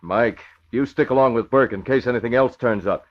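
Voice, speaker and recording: An actor playing a brisk, shrewd newspaper boss with a crisp, commanding manner in an old radio drama. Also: male voice, medium pitch, a little hiss or hum, close to an everyday voice. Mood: alert. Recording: muffled vintage radio recording.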